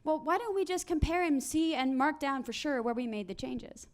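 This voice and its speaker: A woman speaks on a conference panel in a fairly high voice. She tells her stories briskly and acts out the voices of the people in them. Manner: animated storyteller acting out quoted people.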